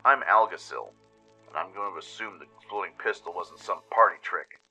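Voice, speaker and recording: Guy doing big gruff griffin cowboy for radio play, wry n blunt, really sharp punchy voice. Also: sits low, normal speed, soft background music, exaggerated voice. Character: large gruff male griffin gunslinger in sombrero.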